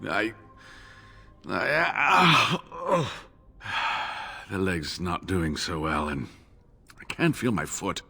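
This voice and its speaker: An actor playing a wounded, devoted elderly scholar in a video game, speaking in a halting, earnest way. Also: male voice, low voice, animated, creaky, bright, forceful tone, breathy edge, faint music behind, full character voice. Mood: pained, relieved. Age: older.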